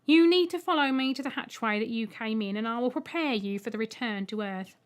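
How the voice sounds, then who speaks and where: A woman's voice: a little high, a little fast, clean tone, lightly acted. Woman voicing a chirpy, obliging little robot egg. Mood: matter-of-fact. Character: small male robot egg alien, cheerfully oblivious, reassuring.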